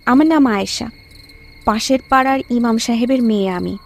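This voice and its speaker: A woman's voice: a little high, normal speed, vocal fry. A woman playing the eerie ghost of a young village bride in a horror cartoon story, plaintive and dramatic. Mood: sorrowful.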